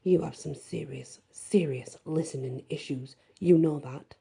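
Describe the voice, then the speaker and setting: A woman's voice: sits low, unhurried, vocal fry, mellow, breathy edge, exaggerated voice. A woman voicing a protective, hot-headed teenage male werewolf in a fantasy story, speaking in a stern, confrontational way. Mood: angry, protective. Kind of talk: first-person fantasy audiobook dialogue.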